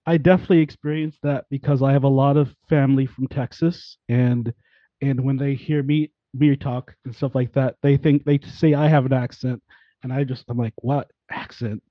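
A man's voice: medium pitch, steady tone, normal speed, no room sound, dull audio. A man hosting a casual interview, rambling and interrupting himself as he chats. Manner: chatty, rambling, self-interrupting.

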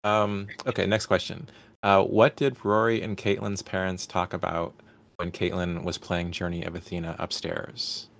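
A man with a fairly low voice hosts a panel. He speaks casually as he moves the questions along. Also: little pitch movement, moderate pace, vocal fry, fairly bright tone. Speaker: Q&A moderator. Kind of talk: casual hosting.

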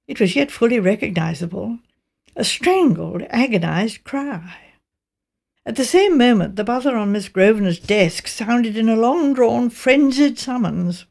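A woman with a Received Pronunciation British accent, reading a mystery novel as scripted narration in a measured, precise way. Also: medium pitch, vocal fry, a little mellow. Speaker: audiobook narrator.

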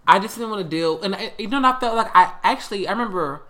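Casting note: man, higher-pitched bright voice, candid and expressive, quick to laugh. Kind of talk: candid casual chat.

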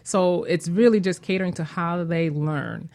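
A woman's voice: lowish voice, moderate pace, darkish tone. A woman speaking as a guest in a conversational interview, explaining things as a parent.

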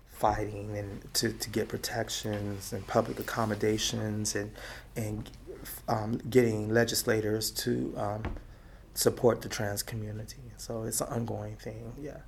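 A middle-aged woman from Texas with a deep, raspy voice, talking and laughing her way through memories in an oral history interview. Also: slight background noise. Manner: lively, talkative, laughs often. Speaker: activist interviewee.

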